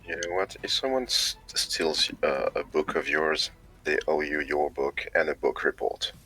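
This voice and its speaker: A man with an extremely deep, gravelly voice that is husky yet bright-edged, speaking haltingly and restarting his sentences. He is chatting casually on a live stream. Faint music plays behind him. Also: poor audio. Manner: hesitant, halting with repeated restarts.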